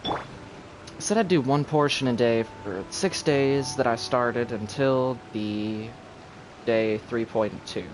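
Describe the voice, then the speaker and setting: A man's voice: medium pitch, slow, background noise. A man giving casual live commentary as he streams a video game.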